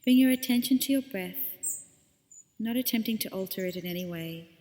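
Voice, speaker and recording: Woman guiding meditation in reverberant space. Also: mid-range voice. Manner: gentle, unhurried instruction with pauses. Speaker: meditation teacher. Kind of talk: guided body scan meditation.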